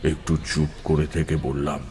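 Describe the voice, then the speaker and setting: A man narrating a first-person horror story in a dramatic, suspenseful, expressive scripted style. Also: a bass voice, normal speed. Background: prominent music.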